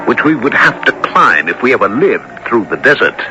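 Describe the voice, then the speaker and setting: An actor playing a seasoned adventurer who narrates his perilous expedition in a dramatic, deliberate radio drama style. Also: mid-range voice, sing-song, lo-fi sound, close to an everyday voice. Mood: grim, dramatic. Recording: old radio broadcast with loud music bed.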